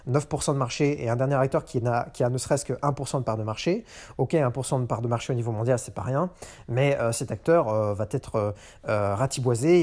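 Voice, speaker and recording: A man's voice: mid-range voice, a little mellow. Hesitant French-speaking man musing aloud. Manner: hesitant, many fillers and restarts. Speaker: podcast host. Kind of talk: unscripted monologue on economics. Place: in a car.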